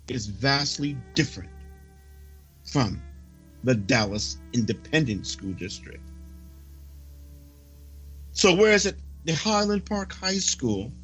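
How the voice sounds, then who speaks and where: A man reading out and commenting on a report like a preacher, deliberate and emphatic, with dramatic pauses. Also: fairly high pitch, a bit bright. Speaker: religious speaker reading a prophecy report. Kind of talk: sermon-like report, reading and commenting on a news article.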